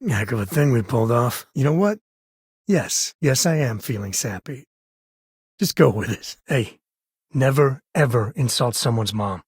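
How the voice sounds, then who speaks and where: An actor playing an easygoing, middle-aged space explorer and single dad in a video game, with a husky voice and a folksy, wry way of talking. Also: male voice, medium pitch, lively intonation, a little slow, no room sound, studio quality. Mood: warm, teasing. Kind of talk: video game companion dialogue.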